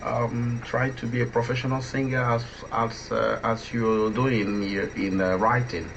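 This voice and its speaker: A man asking interview questions conversationally, with halting, hesitant phrasing. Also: medium pitch, level tone. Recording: dull, distant mic with faint noise.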